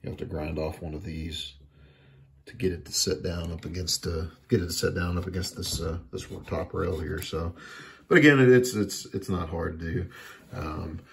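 Casting: man with a low, creaky voice for an informal electronics repair walkthrough, hesitant and repetitive in phrasing. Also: slight background noise. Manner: halting, repeats words and trails off. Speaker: hobbyist demonstrating electronics repair. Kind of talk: casual hands-on how-to narration. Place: indoors at a workbench.

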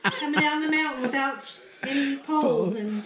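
A middle-aged woman retelling family stories in a lively, laughing way in a home kitchen. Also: high voice, normal speed, crowd noise. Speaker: daughter recalling stories. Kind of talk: casual family chat. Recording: roomy kitchen with people chatting.

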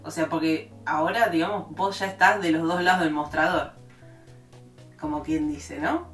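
An Argentine woman with a lowish voice asks questions in a friendly way and laughs now and then. She sounds set back from the microphone in an echoey room. Faint music runs behind her. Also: steady tone, normal speed, a bit bright, far from the mic. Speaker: vlog co-host interviewer.

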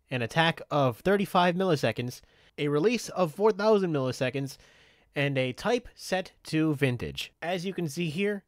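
A man giving a methodical, step-by-step software tutorial in an instructional way. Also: fairly high pitch, dry recording, studio quality. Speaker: tutorial presenter.

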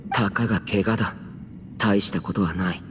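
A man's voice: lowish voice, steady tone, normal speed, background noise, close to an everyday voice. A man voicing a youthful, aloof immortal warrior in a Japanese anime game, terse, solemn and archaic in speech. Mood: curt, stoic. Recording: music behind.

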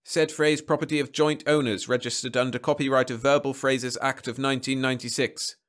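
A man's voice: medium pitch, flat delivery, fairly quick, fairly bright tone, pitched above the performer's own voice, natural voice. A man voicing a mechanical monitoring device that drones out official notices in a science fiction story. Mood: flat, official. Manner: mechanical, clipped recitation. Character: droning machine voice of an official violation monitor.

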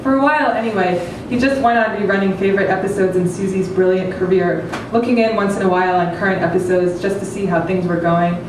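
A woman's voice: medium pitch, steady tone, fast, few pauses. A woman in her thirties giving a dramatic reading of a comic novel from a stage. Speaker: reader at a literary event. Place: stage with curtains before an audience. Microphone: microphone on a table stand. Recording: very echoey hall, distant, clearly noisy.

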